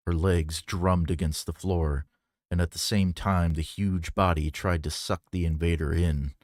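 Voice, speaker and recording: A man reading a novel aloud in a measured, dramatic storytelling manner. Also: deep voice, no echo, clean studio sound. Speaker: audiobook reader. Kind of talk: scripted fiction narration.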